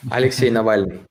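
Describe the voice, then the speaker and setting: A man, a guest answering interview questions, hesitating and searching for words with many fillers. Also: mid-range voice. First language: Russian. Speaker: guest, exchange co-founder.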